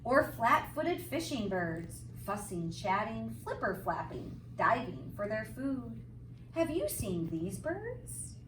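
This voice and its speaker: Woman reading to kids, slow and lively. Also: fairly high pitch. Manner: sing-song, emphatic phrasing addressed to young children. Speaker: teacher reading a storybook aloud. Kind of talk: expressive children's read-aloud with simple asides. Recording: roomy, distant mic, faint background noise.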